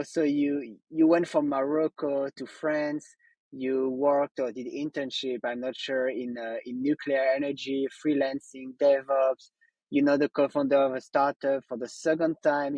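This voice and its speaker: A man's voice: medium pitch, a little mellow, no echo, low-quality recording. A man hosting a podcast interview, asking questions with frequent restarts. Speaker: podcast host.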